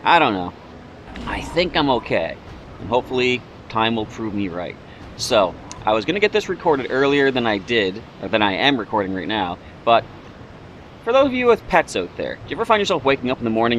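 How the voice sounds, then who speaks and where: A man hosting a game playthrough in a chatty, rambling way, his voice slightly raspy and broken by throat-clearing. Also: mid-range voice, big pitch swings, fairly quick, a bit bright, a little hiss or hum. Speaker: let's play host. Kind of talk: casual game commentary.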